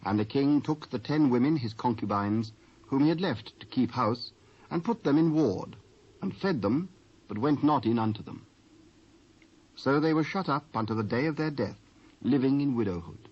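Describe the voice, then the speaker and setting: A British man narrating scripture from a script in a formal, measured storytelling manner. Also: mid-range voice, animated, darkish tone. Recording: muffled old cassette.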